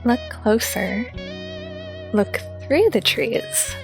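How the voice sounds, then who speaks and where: A woman's voice: fairly high pitch, animated, drawn-out words, vocal fry, music in the background, heavily acted. A woman playing a bossy teasing teen girl.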